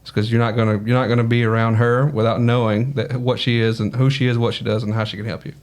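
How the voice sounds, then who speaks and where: A man's voice: mid-range voice, level tone, fast talker. Easygoing Southern man. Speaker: podcast guest. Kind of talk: casual podcast conversation.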